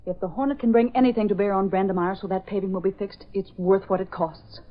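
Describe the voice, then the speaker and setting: An actress playing a practical, anxious shopkeeper's wife, earnest and plainspoken in 1930s radio drama style. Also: female voice, medium pitch, steady tone, quick pace, lightly acted. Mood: resolute. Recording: muffled old radio recording.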